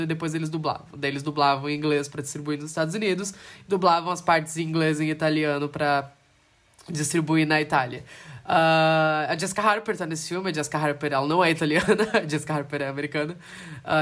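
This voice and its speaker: A man's voice: on the high side, fairly bright tone. A Brazilian man co-hosting a podcast, talking casually about films, laughing often and trailing off mid-sentence. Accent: Brazilian Portuguese. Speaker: podcast co-host. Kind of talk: casual film chat.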